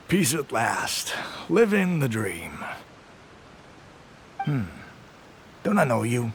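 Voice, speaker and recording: An actor playing a laid-back, sleepy soldier who keeps to himself, sighing and unhurried, in a video game. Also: male voice, medium pitch, huge pitch swings, slight background noise, exaggerated voice. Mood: drowsy, content. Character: laid-back, drowsy loner soldier who wants only rest.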